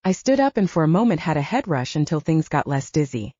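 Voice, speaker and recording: A woman's voice: low-pitched, very animated, a little fast, warm tone, husky, no room sound, muffled recording. A woman reading first-person fiction as a scripted audiobook narration.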